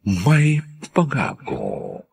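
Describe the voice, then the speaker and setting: A man's voice: medium pitch, huge pitch swings, extremely slow, creaky, husky. A Filipino man hosting and narrating a radio drama with theatrical, scripted delivery.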